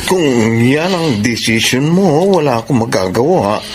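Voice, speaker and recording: A man acting a concerned, gracious modeling manager in a radio drama, hesitant and expressive, with a Filipino accent. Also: medium pitch, a little slow, natural voice. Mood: concerned, resigned. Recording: loud background ambience noise.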